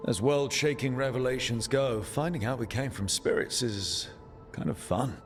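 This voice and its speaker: An actor playing an earnest, steady leader of an adventuring party in a video game cutscene, with music behind him. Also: male voice, medium pitch, moderate pace, lightly acted. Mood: steady, thoughtful.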